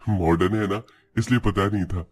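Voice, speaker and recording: A man playing an apologetic young husband who explains and placates in an animated family story. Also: on the low side, normal speed, a little hiss or hum, lightly acted.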